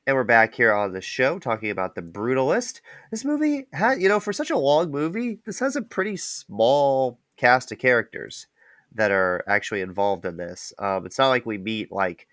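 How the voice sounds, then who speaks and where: A podcast co-host talks through a film review in a steady, informative way and keeps the show moving. The voice sits at a middling pitch and sounds dry, with no room echo. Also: moderate pace. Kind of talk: casual film review chat.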